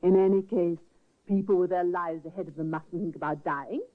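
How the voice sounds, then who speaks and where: An actress playing a composed, gracious nurse in a 1950s radio drama, smooth and reassuring. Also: female voice, lowish voice, steady tone, a little fast, natural voice. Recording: tinny restored old radio broadcast.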